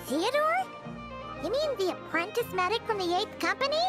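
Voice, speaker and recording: A woman's voice: extremely high pitch, very animated, moderate pace. A woman voicing a tiny, chirpy and blunt fairy companion in a video game, who talks about herself in the third person. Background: game music.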